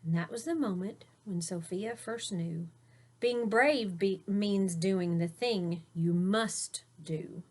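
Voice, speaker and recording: A woman reading a children's picture book aloud like a teacher, with deliberate emphasis and short asides to her listeners. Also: medium pitch, darkish tone. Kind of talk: expressive read-aloud storytelling for young children.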